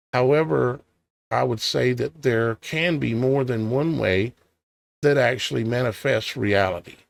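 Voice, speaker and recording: Tired gravelly man musing over scripture. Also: medium pitch, no room sound. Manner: thinking aloud, questioning, hesitant restarts; reads old scripture in a measured cadence. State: tired, voice starting to go. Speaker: online preacher. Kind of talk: scripture reading with musing commentary.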